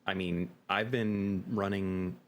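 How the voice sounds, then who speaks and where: Very deep-voiced man explaining emphatically. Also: fairly even intonation, takes it slowly, slight background noise. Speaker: game master guest. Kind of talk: interview conversation.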